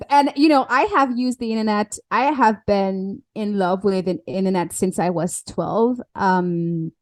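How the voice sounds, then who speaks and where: A woman whose first language is French speaks English in a relaxed podcast chat, often pausing on fillers and restarting her sentences. Her pitch sits in an ordinary middle range. The recording is dry and close, with no room sound. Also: dry recording. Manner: halting, with many fillers and restarts. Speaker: podcast guest, alignment coach. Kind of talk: casual interview chat.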